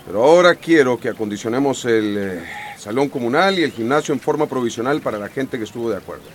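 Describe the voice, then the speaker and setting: An actor playing a male town mayor with a Costa Rican accent, insistently pleading for calm at a meeting in a radio drama. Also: mid-range voice, fast talker, slight background noise, natural voice. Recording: echoey hall, voice from far off.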